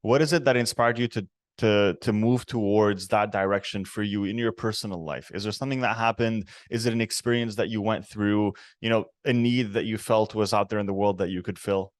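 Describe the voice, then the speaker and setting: A man's voice: on the low side, steady tone, brisk, dry recording, studio quality. A man hosting a podcast interview, asking questions in an encouraging way with many affirming murmurs. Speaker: podcast host. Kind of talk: interview conversation.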